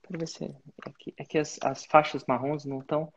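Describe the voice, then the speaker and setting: A man's voice: on the high side, level tone, a little fast, gravelly, husky, muffled recording. A man hosting a live coaching session, giving direct, instructive advice to students. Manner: direct, instructive, uses sports-belt metaphors. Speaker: business mentor and host.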